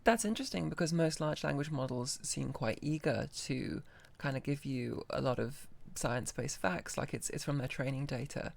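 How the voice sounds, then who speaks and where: Inquisitive woman with a creaky voice. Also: rumbling low, moderate pace. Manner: inquisitive, polite, gently persistent. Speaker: interviewer testing an AI voice. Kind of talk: casual conversational questioning.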